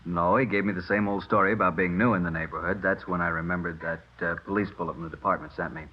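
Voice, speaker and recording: Brisk, suspicious American pharmacist, low rough voice, dull vintage radio recording. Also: male voice, fairly even intonation. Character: brisk, suspicious male neighborhood druggist. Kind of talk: 1950s radio drama acting.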